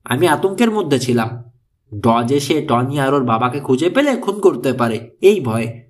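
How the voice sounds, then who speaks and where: A man's voice: mid-range voice, animated, measured pace, natural voice. A man voicing an anxious, remorseful middle-aged woman in an audiobook, speaking in an earnest, confessional manner. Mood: remorseful, afraid.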